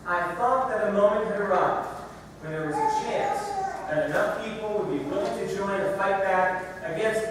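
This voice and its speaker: A man giving a measured, deliberate public reading of prepared excerpts at a church service. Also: high voice. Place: large reverberant church room. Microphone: distant microphone. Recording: echoey hall, far from mic, noisy.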